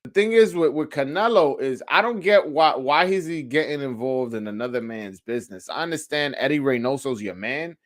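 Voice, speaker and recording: A man's voice: medium pitch, expressive, normal speed, hardly any pauses. A man hosting a live sports call-in show, chatting casually in slangy, sweary language and often repeating phrases as he thinks aloud. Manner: informal, slangy, frequent swearing. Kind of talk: casual sports chat answering live commenters.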